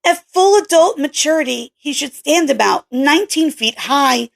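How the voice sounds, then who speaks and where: A woman's voice: high voice, expressive, moderate pace, creaky, voice pushed up. A woman reading the part of a guarded, earnest woman scientist in a story, explaining things matter-of-factly. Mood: earnest, wary. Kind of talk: audiobook character dialogue.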